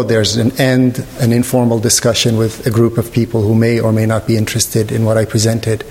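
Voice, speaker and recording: A man's voice: mid-range voice, darkish tone. Reflective man lecturing in a reverberant hall. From Lebanon. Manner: hesitant, searching, measured phrasing with careful formal wording. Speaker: visual artist giving a lecture. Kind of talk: reflective public artist talk. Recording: echoey hall with long reverb.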